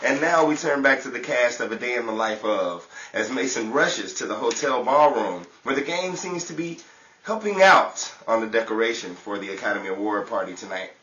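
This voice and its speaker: Lively, bright-voiced man announcing awards. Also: medium pitch, moderate pace, highs cut off. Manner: theatrical announcer delivery. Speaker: show host and cast member. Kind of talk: scripted old-time radio awards presenting. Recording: roomy, distant mic, faint crowd and hiss.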